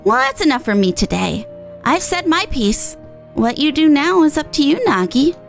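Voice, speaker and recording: A woman's voice: high-pitched, very animated, normal speed, vocal fry, voice pushed up, full character voice. A woman voicing a small, motherly innkeeper from a video game, caring, folksy and encouraging. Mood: gently firm. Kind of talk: video game dialogue read in character. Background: game music.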